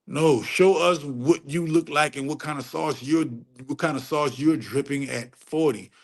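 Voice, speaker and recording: A middle-aged man on a casual livestream rant, preachy and profane, repeating phrases for emphasis and climbing sharply in pitch when he gets heated. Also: normal speed. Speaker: livestream host answering chat.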